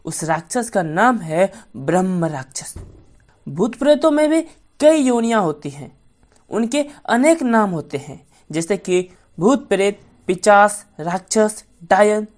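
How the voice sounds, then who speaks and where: A woman storyteller tells a folk tale to children. Her voice is a bit higher than usual. She narrates at a very unhurried pace, in the style of a bedtime story. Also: a little mellow.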